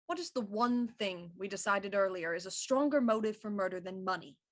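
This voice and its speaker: A woman playing a shrewd, self-assured Victorian police inspector, explaining deliberately and theatrically in a murder mystery audio drama. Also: mid-range voice, lightly acted. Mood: coolly explaining.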